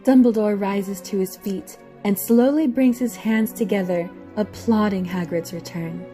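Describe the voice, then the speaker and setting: A woman's voice: medium pitch, a little slow, mellow. A woman giving dramatic scripted narration for an audio play, with music behind her.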